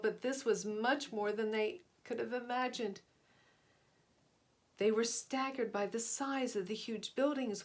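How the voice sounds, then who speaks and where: A woman narrating a children's picture book aloud in a dramatic, emphatic way. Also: mid-range voice. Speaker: storybook reader.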